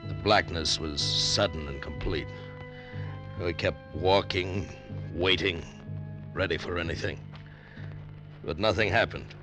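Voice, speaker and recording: A hard-bitten adventurer, with a very deep voice and terse, clipped narration. Music plays clearly under the old radio broadcast. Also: male voice, takes it slowly, long pauses. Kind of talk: 1940s radio acting.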